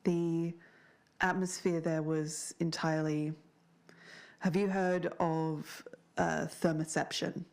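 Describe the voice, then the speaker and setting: Woman acting a slow, creaky-voiced shaken witness. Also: sits low, little pitch movement, a little mellow. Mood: halting, unsettled. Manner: halting, searching for words. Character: shaken, earnest woman recounting a strange otherworldly town. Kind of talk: audio drama interview, naturalistic podcast acting.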